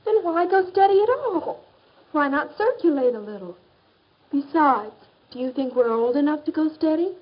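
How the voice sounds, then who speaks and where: An American woman acting as a hesitant, questioning teenage high-school girl in a 1950s educational film. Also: expressive, normal speed. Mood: doubtful. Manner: questioning, uncertain. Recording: dull, muffled 1950s film soundtrack.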